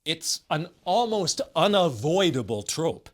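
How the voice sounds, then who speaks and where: A man's voice: fairly high pitch, very animated, a little slow, soft background music. A man delivering scripted video game commentary in a sardonic, wry way with exaggerated emphasis.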